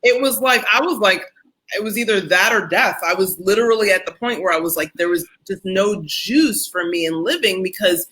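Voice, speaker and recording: A middle-aged woman with a medium-pitched voice talks casually about her own life. She is candid and self-deprecating, and she re-enacts her inner thoughts and bits of dialogue as she tells it. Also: normal speed, fairly bright tone, slightly rough, rough recording. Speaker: community founder and host. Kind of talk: personal storytelling in casual conversation.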